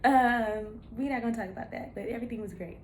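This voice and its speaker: A woman's voice: on the high side, quick pace, a little hiss or hum. A woman in her thirties chatting casually to her viewers, encouraging and playful, with laughing asides. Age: 30s. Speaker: nurse practitioner vlogger. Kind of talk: casual chat to camera.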